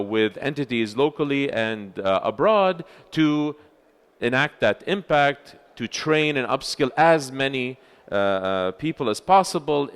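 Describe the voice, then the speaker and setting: Smooth-voiced man presenting at a conference. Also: mid-range voice. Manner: loud voice, many filler sounds and restarts. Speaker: center chair giving a talk. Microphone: handheld or stage microphone.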